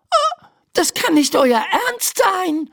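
A woman voicing a young girl in a children's story, high and youthful, exclamatory and emphatic. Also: sing-song, slow pace, exaggerated voice. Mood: incredulous. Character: Laura, a young girl, alarmed then bossy in her dream.

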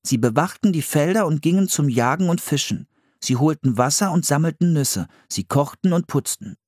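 Guy doing a bookish careful boy in a kids story, voice pitched up, earnest n a bit plaintive. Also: animated, moderate pace, a little mellow, dry recording, studio quality. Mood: studious reading. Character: cautious, bookish young boy, easily worn out. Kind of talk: audiobook children's story character voice.

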